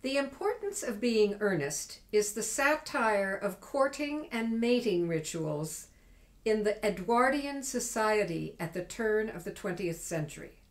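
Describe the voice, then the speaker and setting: A woman presents a scripted introduction. She speaks slowly, with an animated rise and fall in her middle-pitched voice.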